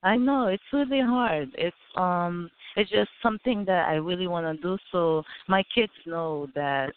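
A woman's voice: lowish voice, unhurried, slight background noise. A woman with a Haitian accent answering interview questions in a hesitant way, with many fillers and restarts. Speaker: interview guest, aspiring model. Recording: phone-like narrow sound.

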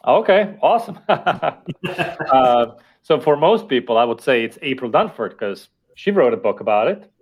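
A man's voice: mid-range voice, sing-song, moderate pace, narrow, tinny audio. A man whose first language is Estonian, a podcast guest speaking thoughtfully with fillers and restarts. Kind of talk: casual interview talk.

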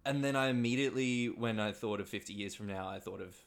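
A man's voice: on the low side, fast talker, vocal fry. A man from Australia chatting casually as a playwright guest on a podcast. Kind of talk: casual chat.

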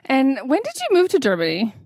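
A woman's voice: high-pitched, huge pitch swings, fairly quick, a little mellow, no echo, clean studio sound. A woman hosting a podcast and interviewing a guest in an upbeat, enthusiastic way. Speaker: podcast host. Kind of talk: podcast interview, scripted intro.

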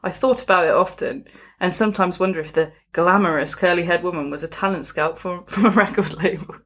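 A woman with a fairly low-set voice hosts in a casual style, reading a story aloud with amusement. She is giggly and tends to step out of the text with chatty asides. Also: brisk, fairly bright tone. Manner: giggly, often breaking off to comment. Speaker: podcast host reading out a magazine story.

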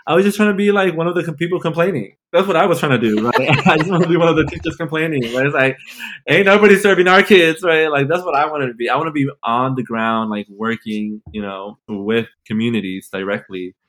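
A man's voice: a little high, quick pace, fairly bright tone. A man in his twenties from Mexico, Los Angeles and Nashville, talking casually as a podcast guest in an informal, playful, slangy way. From born in Mexico, grew up in Los Angeles and Nashville. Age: 20s. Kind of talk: casual conversational interview.

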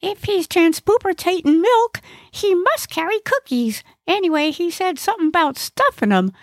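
A woman's voice: extremely high pitch, lively intonation, normal speed, dry recording, clean studio sound. A woman voicing a dim, gluttonous, carefree furry male creature in a comic audiobook, chattering in childlike mangled words, blithely oblivious. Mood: cheerfully oblivious. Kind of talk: comic audiobook character acting.

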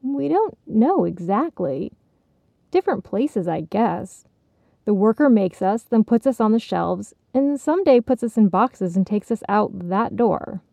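Woman as a gentle, mellow male gift basket. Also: medium pitch, expressive, measured pace, creaky, no room sound. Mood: consoling. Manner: soothing, patient explainer.

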